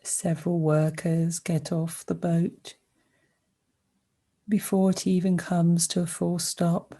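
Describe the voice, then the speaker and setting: A woman guiding a sleep meditation with soothing narration, speaking gently and unhurriedly with pauses between short phrases. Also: fairly low pitch, little pitch movement. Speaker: meditation guide.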